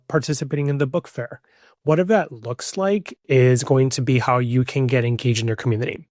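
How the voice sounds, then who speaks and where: A man in his young adult years hosts a podcast on his own, speaking earnestly and circling back to stress what matters. His pitch sits in an ordinary middle range. The recording is close and dry, with no room sound around him. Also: a little mellow, dry recording. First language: Spanish. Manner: earnest, emphatic, repeats key points. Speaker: podcast host. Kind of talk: solo podcast monologue.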